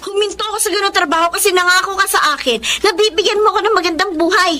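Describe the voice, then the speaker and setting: An actress with a Filipino accent playing a battered young wife, tearful and pleading yet defiant, in a radio drama. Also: female voice, very high voice, racing, sharp tone. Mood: hurt, defiant. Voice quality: tearful, plaintive.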